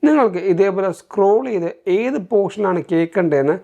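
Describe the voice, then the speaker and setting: A man's voice: sits high, expressive, fairly quick, vocal fry, dark tone, muffled recording. A man with a Malayali accent, hosting a tech walkthrough in an explanatory, step-by-step way and mixing in English terms. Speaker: tech reviewer, host. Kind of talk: scripted-feeling tech tutorial narration.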